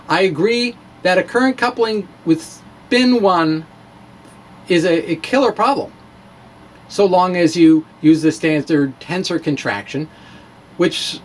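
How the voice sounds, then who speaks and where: A man giving a dramatic reading of a science blog, halting and theatrical, with informal wry asides. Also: sits high, slight background noise. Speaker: physics blogger.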